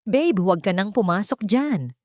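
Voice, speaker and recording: A woman voicing a haughty young fiancée with a shrill, quick, sneering voice. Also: animated, darkish tone, dry recording, clean studio sound, natural voice. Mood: coaxing. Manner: sneering, suspicious.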